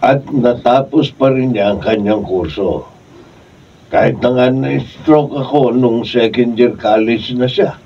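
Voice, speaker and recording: An actor playing a proud, fond middle-aged father with a Filipino accent and a husky voice in a radio drama. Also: mid-range voice, takes it slowly, mellow, a little hiss or hum. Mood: reflective. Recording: distant, dull room recording.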